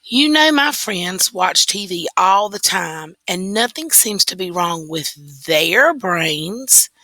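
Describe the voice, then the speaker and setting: A woman's voice: medium pitch, animated, slow pace, pushed and bright, dry recording, full character voice. A woman voicing an earnest, emphatic young schoolboy in a storybook read-aloud. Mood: pleading. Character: earnest young schoolboy who loves television.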